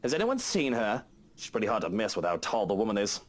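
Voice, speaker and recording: A man's voice: fairly low pitch, big pitch swings, fast. Guy putting on a grumpy sarcastic young boss, voice swings up and down a lot. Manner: sarcastic, exasperated.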